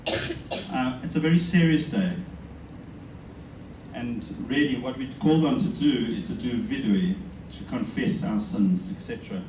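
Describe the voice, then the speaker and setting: A man's voice: mid-range voice, measured pace, a little mellow. A South African man giving an informal religious talk to an audience, conversational and self-deprecating, often posing rhetorical questions. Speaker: speaker giving a talk at a synagogue. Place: indoors in a synagogue, before an audience. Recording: echoey room, distant mic, noisy background.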